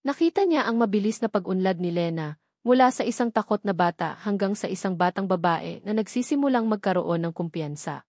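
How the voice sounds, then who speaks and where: A woman's voice: medium pitch, expressive, fairly quick, darkish tone, no echo, clean studio sound. A woman reads a scripted dramatic story as its narrator.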